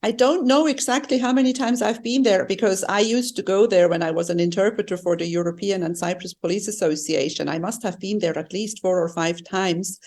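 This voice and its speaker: A woman's voice: medium pitch, fairly quick, hardly any pauses, darkish tone. A middle-aged woman from Switzerland co-hosting a podcast in casual, conversational travel talk. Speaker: podcast co-host.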